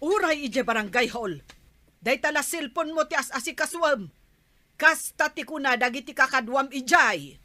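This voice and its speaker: A woman playing a homely, generous but nagging village woman in a comic radio drama, with expressive, drawn-out delivery. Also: high-pitched, no room sound.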